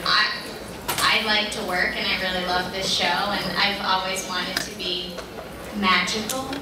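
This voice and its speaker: A woman's voice: mid-range voice, level tone, unhurried, noisy background. A woman voice actress speaking on a convention panel, a bit hesitant and friendly. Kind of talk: casual panel chat. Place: large convention hall. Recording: echoey hall with crowd, far from mic.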